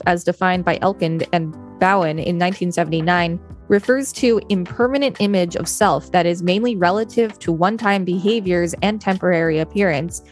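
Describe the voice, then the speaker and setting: A woman co-hosting a podcast, reading an encyclopedia article in a measured, explanatory way. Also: fairly low pitch. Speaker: podcast co-host. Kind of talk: reading an encyclopedia article aloud with brief chat.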